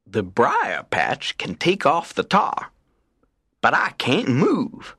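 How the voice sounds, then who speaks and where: A man voicing an excitable, friendly male rabbit in a storybook, with a strained voice and exaggerated, drawn-out exclamations. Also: medium pitch, breathy edge, voice pushed up, heavily acted. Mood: worried. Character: friendly male rabbit, excitable, indignant, pleading when in trouble. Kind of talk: storybook character voice.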